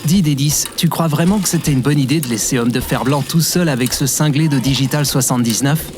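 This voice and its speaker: A man playing a plain-spoken, good-natured, somewhat slow-witted traveller in a comedic audio drama. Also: mid-range voice, noisy background, close to an everyday voice. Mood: doubtful. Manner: casual, doubtful.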